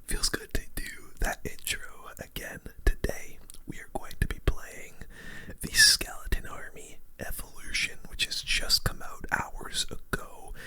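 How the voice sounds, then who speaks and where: A host giving relaxed, casual game commentary entirely in a breathy whisper, in an ASMR style close to the microphone. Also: very high-pitched, measured pace. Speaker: gaming video host.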